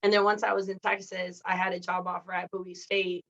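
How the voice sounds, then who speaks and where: A woman's voice: on the low side, level tone, fairly quick, creaky, fairly bright tone. Chatty woman coach, dull audio. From Mexico, raised in California. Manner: candid, chatty, many fillers like "like" and "you know". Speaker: guest, coach and athletic director. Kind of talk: casual interview conversation.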